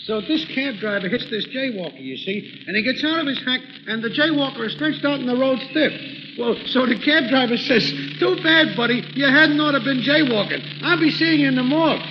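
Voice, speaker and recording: An excitable New York cab driver with a very high voice. He rambles in wisecracking slang, in classic radio comedy-thriller style. The vintage recording is muffled, with clear background noise. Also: a little fast. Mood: jokey, rambling. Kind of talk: old-time radio drama acting.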